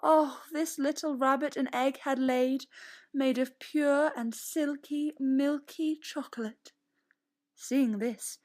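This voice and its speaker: Slow, high-voiced woman storyteller. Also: darkish tone. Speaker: storyteller reading a children's story-poem. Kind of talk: expressive scripted storybook narration in verse.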